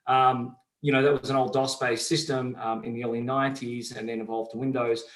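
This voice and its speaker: A middle-aged man with a softened Australian accent, answering interview questions in a measured, explanatory way with frequent fillers. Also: mid-range voice, little pitch movement. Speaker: company CEO, guest. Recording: roomy echo.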